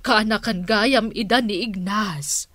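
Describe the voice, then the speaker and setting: A woman's voice: medium pitch, takes it slowly, a bit bright, no echo. A woman playing a bossy older household matriarch in a comedy radio drama, speaking with exclamatory authority. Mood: brooding.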